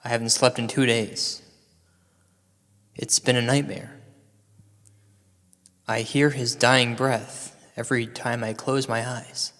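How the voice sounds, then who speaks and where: Guy doing a nervous paranormal investigator, earnest and hesitant, regular pitch, radio comedy, lots of reverb. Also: flat delivery, slow pace, long pauses, fairly bright tone. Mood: troubled, desperate. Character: anxious, self-doubting male paranormal investigator, earnest and eccentric. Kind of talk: comedy radio drama acting.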